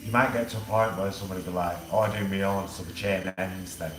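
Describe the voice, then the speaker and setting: Deep-voiced man, echoey and noisy. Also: steady tone, fast talker. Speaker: vlogger getting a haircut. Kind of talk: casual chat. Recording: echoey room, clear background noise.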